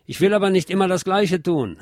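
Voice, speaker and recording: A man's voice: high voice, brisk, a little mellow, no room sound, studio quality, voice pushed up, natural voice. A man voicing a timid young factory apprentice who longs for freedom, reading plaintive quoted song lines within his narration. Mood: plaintive protest.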